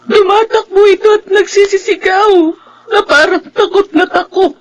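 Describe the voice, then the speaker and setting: A high, tearful voice plays a bereaved Filipino mother in a radio drama. She sobs through her words with heavy sorrow. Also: fairly even intonation, moderate pace, a little hiss or hum. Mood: tearful grief. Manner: tearful, sobbing delivery. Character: grieving mother of a dead young woman.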